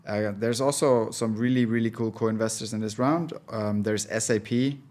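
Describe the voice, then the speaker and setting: Guy with a low voice, vc guest, lots of ums, thinks as he talks. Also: fairly even intonation, moderate pace, darkish tone. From Switzerland. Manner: thoughtful, hesitant, many filler sounds. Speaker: venture capital partner, guest. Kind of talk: casual interview talk.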